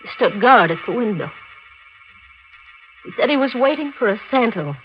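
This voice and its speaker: A woman's voice: fairly high pitch, expressive, normal speed, muffled recording, natural voice. An actress playing a guarded married woman under questioning in a 1940s radio drama, speaking tersely and formally. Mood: strained. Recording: band-limited 1940s radio recording with music.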